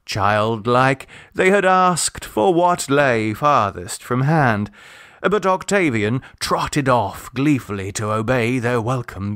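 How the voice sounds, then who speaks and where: A man narrates a literary short story at a slow, careful pace. His delivery is measured and expressive, with a mid-pitched voice. The recording is dry and close. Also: dry recording. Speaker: audiobook narrator.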